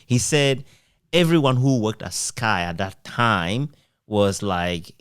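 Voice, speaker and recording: A man with a Ghanaian accent interviewing in a casual, reminiscing way, expressive and given to chuckling between phrases. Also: fairly low pitch, slow, clear, resonant tone, no room sound, clean studio sound.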